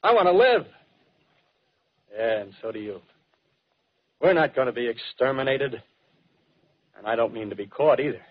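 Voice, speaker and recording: A dull, muffled old radio recording of a fanatical American soldier played by a male actor. His voice is husky and his talk is rough, clipped and insistent. He is a scheming survivor in a 1930s radio play. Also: mid-range voice, animated, normal speed, long pauses, close to an everyday voice. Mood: fierce, insistent. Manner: colloquial tough-guy talk, clipped phrases, insistent.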